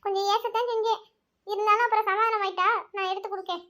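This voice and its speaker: A woman's voice: very high-pitched, little pitch movement, fast talker, fairly bright tone. A woman voicing a practical mother who scolds and nags her children. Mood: explaining. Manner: scolding, nagging.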